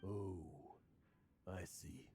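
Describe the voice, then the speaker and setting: An actor playing a weary, guilt-ridden old drunken adventurer in a video game, with a gravelly voice and dramatic, halting delivery. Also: male voice, sits low, flat delivery, noisy background. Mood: sorrowful. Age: older.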